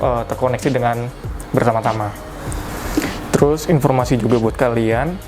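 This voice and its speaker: Casting: young adult male, medium pitch, informal product-tutorial delivery with colloquial tags and self-corrections, over a clear music bed. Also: darkish tone. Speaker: product reviewer giving a tutorial. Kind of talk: casual step-by-step tutorial.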